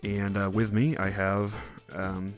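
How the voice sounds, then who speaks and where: A man's voice: lowish voice, level tone, takes it slowly, soft background music. A man co-hosting a podcast, chatting casually and informally in a somewhat hesitant, rambling way. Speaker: podcast co-host. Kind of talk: casual chat about films and games.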